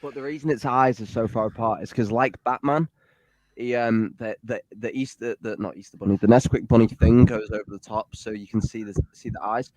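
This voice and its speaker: A man's voice: lowish voice, steady tone, fairly quick, darkish tone, dry recording, poor audio. A young man, a guest in a casual livestream chat, rambling with jokey, self-deprecating asides.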